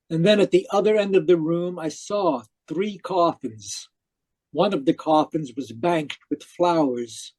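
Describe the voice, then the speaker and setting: An actor playing an obsessive, domineering uncle in an old-style radio mystery, with theatrical, emphatic delivery. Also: male voice, a little high, a little mellow. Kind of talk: 1940s radio mystery acting, narrating and dialogue. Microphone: video call. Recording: muffled-edged online video call.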